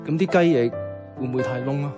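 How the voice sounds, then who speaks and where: A man's voice: mid-range voice, quick pace, over music, natural voice. An actor with a Hong Kong Cantonese accent playing an earnest, clumsy, lovestruck young man in a radio drama. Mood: anxious.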